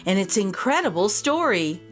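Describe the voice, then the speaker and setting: A woman reading a rhyming children's story aloud as an expressive storyteller. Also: mid-range voice, moderate pace. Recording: voice over background music. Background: music.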